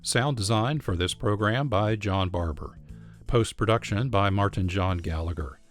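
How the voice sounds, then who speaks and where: An American man speaks as a radio host in a fairly low voice. He reads scripted narration in measured, clearly phrased sentences, with faint music behind him.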